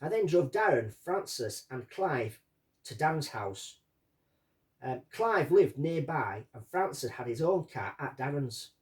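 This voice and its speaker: A man reading out a witness statement and commenting on it as a true-crime host, in deliberate short phrases. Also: medium pitch, sing-song, a little mellow. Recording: distant from the microphone.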